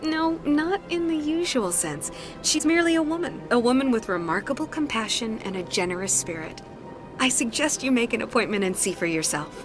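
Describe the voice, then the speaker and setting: A woman voicing a courteous, poised alien acolyte who receives clients in a video game, polite, soothing and measured. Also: on the high side, animated, close to an everyday voice. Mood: serene, inviting. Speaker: receptionist acolyte. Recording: game music behind the voice.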